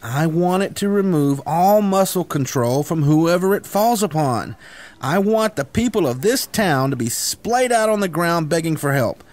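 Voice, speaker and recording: Man acting a cocky grandiose schemer, higher-pitched, animated, persuasive, comedic radio drama. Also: normal speed, close to an everyday voice. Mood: scheming, eager. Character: cocky, grandiose schemer, self-appointed leader of misfit would-be conquerors.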